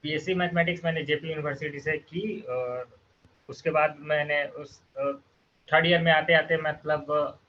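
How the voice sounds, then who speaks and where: A young man in his twenties from Bihar answering questions in an interview, speaking hesitantly with many pauses and restarts. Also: fairly high pitch, fairly even intonation. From Bihar, India. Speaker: former student guest. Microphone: video call. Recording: lo-fi video call audio.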